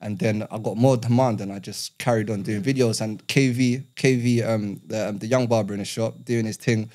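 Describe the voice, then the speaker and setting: A man's voice: fairly low pitch, steady tone, moderate pace, darkish tone. A man, a barber, telling his story in casual podcast chat in a studio, chatty with lots of fillers. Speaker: barber, guest.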